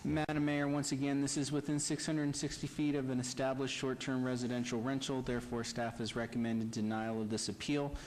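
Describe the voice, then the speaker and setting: A man's voice: medium pitch, a little fast, hardly any pauses, a little hiss or hum, rough recording. A man city staff member formally stating a recommendation to council. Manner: formal, monotone.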